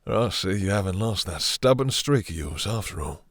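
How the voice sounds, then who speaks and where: A man playing a possessive, domineering vampire master in an intimate roleplay audio drama, with slow, theatrical, condescending menace and a breathy, seductive purr. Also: low-pitched, expressive, no echo, studio quality. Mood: condescending, coaxing.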